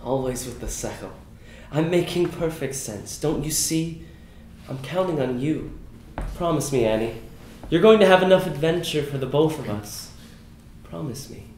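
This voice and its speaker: An actor playing an earnest, ailing brother who pleads urgently, at a screenplay table read. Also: male voice, fairly high pitch, lively intonation, moderate pace, darkish tone, natural voice. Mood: urgent pleading. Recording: echoey room, faint noise.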